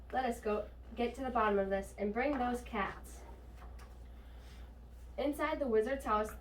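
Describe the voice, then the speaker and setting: A woman's voice: fairly high pitch, darkish tone. A woman reading a children's storybook aloud. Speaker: storybook reader. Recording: distant mic, faint noise.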